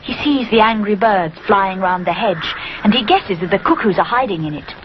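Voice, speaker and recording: A woman narrating a scripted children's story in an expressive storytelling manner. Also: medium pitch, moderate pace. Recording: muffled recording with clear background noise.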